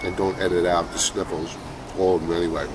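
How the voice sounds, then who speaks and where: A middle-aged man with a cold, sniffling now and then, giving relaxed market commentary as a trading show host with lots of fillers. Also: low voice, fast talker, noisy background. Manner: conversational, many fillers and restarts.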